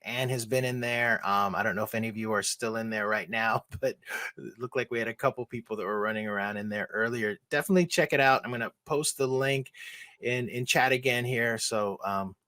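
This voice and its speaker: A man's voice: mid-range voice, sharp tone. Chatty man hosting. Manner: loose and chatty, with many ums and restarts. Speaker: host. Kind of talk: casual online show hosting.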